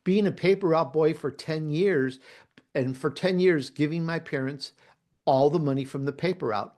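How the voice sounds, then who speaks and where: A man's voice: fairly high pitch, moderate pace, a little mellow. A middle-aged man with a light Chicago accent, a podcast guest telling his story over a video call in an earnest, emphatic way that repeats phrases. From Italy, raised in Chicago. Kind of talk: conversational interview storytelling.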